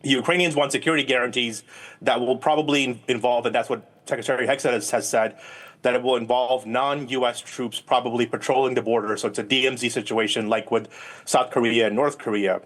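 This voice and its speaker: A man's voice: medium pitch, fairly even intonation, brisk, fairly bright tone. A man speaking as a guest political analyst in a live news interview, explaining at length and often repeating words as he phrases his points.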